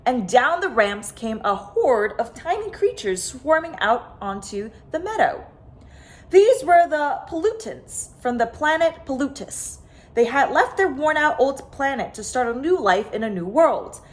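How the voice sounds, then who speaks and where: A woman reading a children's picture book aloud, expressive and dramatic, stretching words for emphasis. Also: sits high, measured pace. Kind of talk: animated children's story reading.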